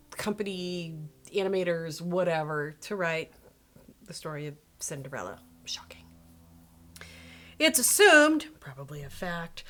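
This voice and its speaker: A woman tells a story to her viewers in a casual, comic style, with faint music. Her voice sits at a medium pitch for a woman. She is animated and sarcastic, often breaking off for a playful aside. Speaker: video host and storyteller.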